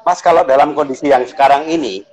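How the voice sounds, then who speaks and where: A man's voice: mid-range voice, quick pace, a little mellow, rough recording. A man hosting a live online chat, asking hesitant, winding questions with many fillers. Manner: hesitant questions, frequent backchannel. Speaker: host.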